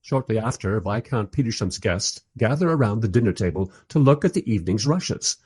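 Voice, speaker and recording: A man plays a wry observer narrating a radio play. His voice is husky and mid-pitched, moving in lively swoops through dry, literary storytelling. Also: a little fast. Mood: wry, bemused. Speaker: first-person narrator.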